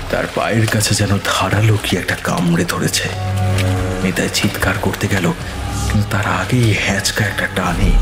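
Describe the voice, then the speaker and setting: Male horror narrator, very low voice, measured and suspenseful, roomy echo. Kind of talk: scripted horror story narration. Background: eerie background music.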